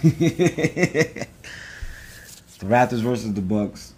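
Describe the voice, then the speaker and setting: A man's voice: mid-range voice, animated, drawn-out words, darkish tone, a little hiss or hum. A man co-hosting a podcast in casual chat, rambling and joking with lots of fillers. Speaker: podcast co-host.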